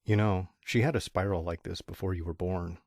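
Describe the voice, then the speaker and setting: A weary, fond pony dad played by a man in an audio drama. His voice sits on the low side and he talks in a calm, reassuring, confiding way. The sound is close and dry. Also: a little fast, gravelly, no echo. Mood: confiding, concerned. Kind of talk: amateur audio drama acting.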